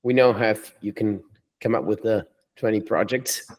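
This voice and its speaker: A man's voice: on the low side, moderate pace, a little mellow. A man taking part in a casual group chat at a meetup. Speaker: meetup participant.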